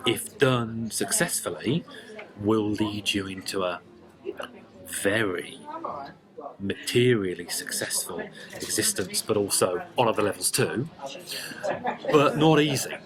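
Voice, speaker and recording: A man explaining a tarot and numerology reading one-to-one, speaking deliberately and emphatically with pauses. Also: lowish voice, lively intonation, crowd noise, low-quality recording.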